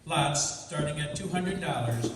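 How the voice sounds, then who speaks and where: A man's voice: fairly high pitch, expressive, unhurried, noisy background. A man announcing and reading scripted copy in old-time radio style. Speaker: announcer and narrator. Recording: echoey hall, distant mic, music. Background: music.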